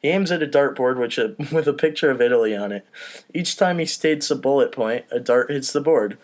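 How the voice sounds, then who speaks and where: A man's voice: mid-range voice, fairly quick, darkish tone. A man hosting a casual table read, reading out stage directions and talking between them. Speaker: host and stage-direction reader.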